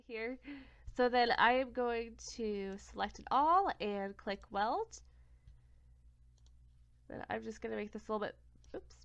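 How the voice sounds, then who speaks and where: A young woman with a blocked-up, congested voice explains craft software one click at a time. Her tone sits fairly high and smooth, and she is recorded close and dry. The home recording sounds a little muffled and lo-fi. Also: measured pace, long pauses, no room sound. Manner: step-by-step, self-correcting, plain and friendly. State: congested from a cold. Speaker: craft tutorial host. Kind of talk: screen-recorded software tutorial.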